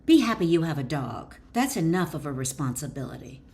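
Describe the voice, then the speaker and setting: A woman's voice: deep voice, very animated, fairly quick, a little mellow, voice pushed down. Woman acting out a sassy, nagging inner critic. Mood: dismissive scolding. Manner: dismissive, folksy, nagging. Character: scolding, sassy inner critic, the doubting conscious mind.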